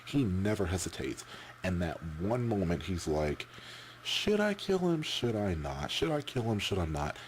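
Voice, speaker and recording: Male vlogger, deep voice, halting unscripted chat with repeated words, noisy camera-mic recording. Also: sing-song. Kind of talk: casual off-the-cuff opinion chat.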